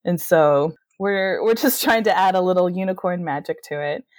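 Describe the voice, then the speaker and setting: A woman co-hosting a casual podcast in a relaxed, upbeat way, sprinkling her talk with fillers. Her voice sits at a middle pitch and sounds dry and close to the mic. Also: normal speed, no echo. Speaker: podcast co-host.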